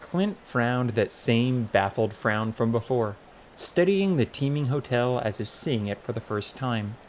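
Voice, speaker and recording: Lively male audiobook narrator. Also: medium pitch, a little slow, a little hiss or hum, rough recording. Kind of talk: scripted comic fantasy narration.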